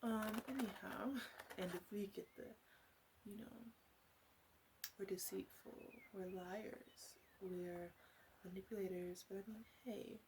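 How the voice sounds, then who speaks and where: Flirty witch shopkeeper played by a woman, mid-pitched, soft ASMR delivery slipping into whispers, teasing and halting, faint background noise. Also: steady tone. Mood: sly, teasing. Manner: teasing, halting, conversational asides. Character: flirty, sly female witch running a potion shop. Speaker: ASMR roleplay performer.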